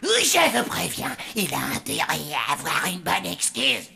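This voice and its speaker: A stern, grumbling cartoon zombie cook, with a very creaky, gravelly voice on the low side. The delivery is demanding and swoops widely in pitch. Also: female voice, wildly swooping pitch, a little slow, a crowd in the background, full character voice. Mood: irritated. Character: stern, irritable adult zombie in charge of the kitchen. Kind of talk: children's cartoon voice acting.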